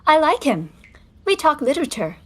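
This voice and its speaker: Casting: prim, exasperated sister, high swooping voice, huffy scolding, twenties stage comedy. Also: female voice, sing-song, normal speed. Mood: defensive, irritated. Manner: huffy, scolding, clipped retorts. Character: exasperated, prim, impatient sister of a carefree bather. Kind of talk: theatrical 1920s stage comedy acting.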